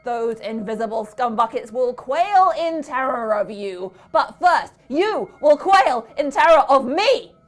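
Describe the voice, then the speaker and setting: A woman voicing a gruff, contemptuous old male army colonel who barks loud orders. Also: medium pitch, brisk, few pauses. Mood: blustering. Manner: barking shouted orders. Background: music.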